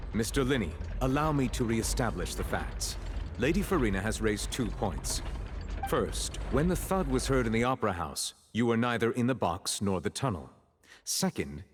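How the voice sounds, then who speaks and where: A stern formal judge. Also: male voice, lowish voice, noisy background. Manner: measured, formal courtroom diction. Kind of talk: game cutscene voice acting.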